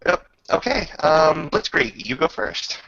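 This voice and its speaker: A man with a creaky voice narrating a tabletop roleplaying game as game master, halting and pausing mid-sentence over online voice chat. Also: a little high, sing-song, fairly bright tone. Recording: dull lo-fi voice chat.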